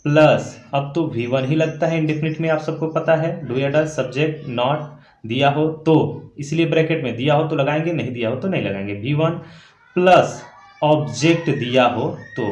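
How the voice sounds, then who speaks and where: A man teaching grammar step by step, repeating key points and checking in often with short tags. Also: on the high side. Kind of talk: classroom-style grammar lesson, explaining rules step by step. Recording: echoey room.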